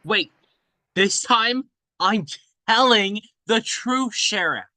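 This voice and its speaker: A man voicing an excitable, pleading young boy in an adventure script. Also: high voice, slow pace, dry recording, voice pushed up, exaggerated voice. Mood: earnest pleading.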